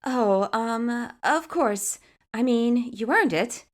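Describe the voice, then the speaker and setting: A woman acts out a guarded teenage girl hacker with a swooping, animated pitch. She is wry and hesitant, trying to stay vague in a storybook-style reading. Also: slow pace. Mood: awkward.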